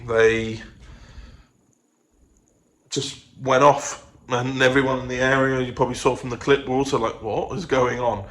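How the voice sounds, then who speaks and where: A man's voice: mid-range voice, level tone, unhurried, long pauses, a bit bright. A man chatting casually to his vlog audience in a rambling, self-interrupting way. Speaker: vlogger and audio drama producer. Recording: noticeable background noise.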